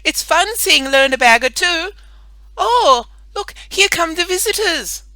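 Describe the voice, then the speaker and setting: Reader playing a squealing excited little girl. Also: a little slow, no room sound. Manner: squealing, high and loud. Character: little girl, cheerful and daring. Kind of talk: storybook reading.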